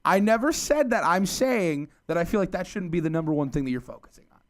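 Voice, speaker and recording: A man's voice: high voice, lively intonation, fast talker. A man co-hosting a casual radio talk show, telling stories through laughter and arguing his point with the others. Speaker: radio co-host. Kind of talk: casual banter.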